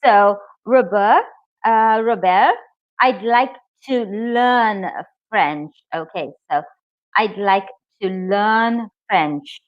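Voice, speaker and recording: A woman whose native language is English, teaching a live online IELTS lesson, speaking slowly and clearly and cheering her students on. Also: medium pitch, no room sound, highs cut off. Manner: slow, clear, encouraging, reads out student comments.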